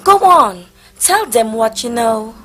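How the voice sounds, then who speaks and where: Haughty Spanish nun woman, amateur stage actress: disdainful, slow delivery with big pitch swings, music behind. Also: expressive, fairly bright tone. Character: haughty disapproving Spanish nun, suspicious of a visionary sister. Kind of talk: amateur stage play acting.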